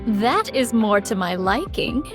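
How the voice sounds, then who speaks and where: A woman's voice: on the high side, very animated, measured pace, music in the background. A woman playing a stern, vigilant young swordswoman in a video game, speaking in a formal, archaic manner. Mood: formal, reserved. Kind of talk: voiced game story dialogue.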